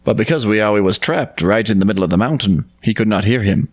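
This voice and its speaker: Male storyteller-host, fairly deep register, sincere and unhurried, conversational narration. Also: lively intonation, darkish tone. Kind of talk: casual discussion and folk tale narration.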